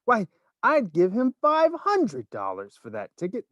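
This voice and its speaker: An outraged woman in a crowd, as a male narrator puts her on, shrill and high as she shouts her bid. A storybook character, recorded dry and close. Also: huge pitch swings, measured pace, dry recording, highs cut off, heavily acted. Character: indignant woman in a crowd, adult.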